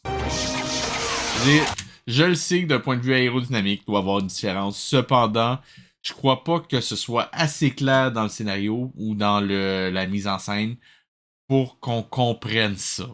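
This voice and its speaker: Animateur québécois sarcastique, voix d'homme moyenne. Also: male voice, mid-range voice, a little slow, a bit bright. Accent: Quebec French. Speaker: host of a web show reviewing old cartoons. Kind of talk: casual comedic commentary.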